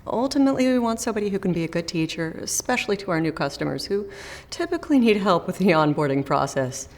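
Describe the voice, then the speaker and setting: A woman's voice: lowish voice, sing-song, fast. Friendly woman recruiter with room echo. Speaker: recruiter in role-play. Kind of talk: scripted role-play phone interview.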